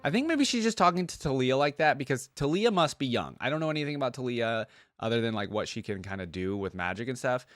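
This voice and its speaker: A man's voice: medium pitch, lively intonation, fast, fairly bright tone, no room sound, studio quality, faint music behind. A man hosting a reaction video, thinking aloud in an informal, conversational way about game voice lines.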